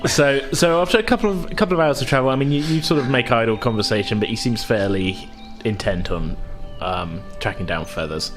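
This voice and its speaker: A man narrating a tabletop game as game master in a halting, hesitant way. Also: medium pitch. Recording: music playing behind the voice. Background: music.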